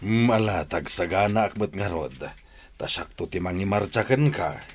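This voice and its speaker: A man's voice: sits low, normal speed, slight background noise, natural voice. A man with an Ilocano accent playing a kindly, supportive older uncle in a radio drama. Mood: warm, approving. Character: kindly, supportive older uncle raising his nephew and niece.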